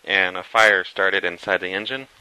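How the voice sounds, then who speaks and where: A man on a phone call speaking as an employee, halting and hesitant. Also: low voice, fairly even intonation, sharp tone, clear, resonant tone, no echo. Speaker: prank caller posing as a dealership employee. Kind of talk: phone conversation, improvised prank.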